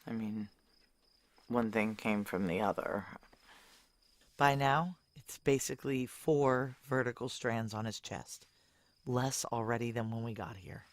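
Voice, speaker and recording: A woman's voice: very deep voice, a little slow, no echo. An actress playing a guilt-worn, blunt female operative on the run in a noir audio drama, wry and terse. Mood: hushed, guarded. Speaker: narrator and lead character. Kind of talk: noir audio drama acting, first-person narration.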